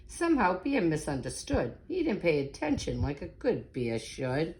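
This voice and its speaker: Female children's read-aloud narrator, slightly low register, highly animated, sing-song and dramatic. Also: normal speed, poor audio. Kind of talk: expressive picture-book narration.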